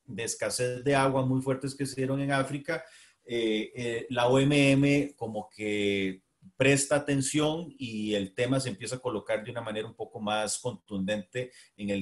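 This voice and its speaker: Costa rican guy lecturing, middle-aged, lots of ums and restarts, average pitch. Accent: Costa Rican Spanish. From Costa Rica. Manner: hesitant, many fillers and restarts. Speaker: university lecturer. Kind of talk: academic lecture.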